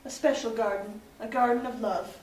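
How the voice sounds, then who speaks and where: A woman's voice: fairly high pitch, fairly even intonation, normal speed, darkish tone. A woman narrating in a mock-dramatic, theatrical storytelling style. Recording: echoey noisy room, distant mic.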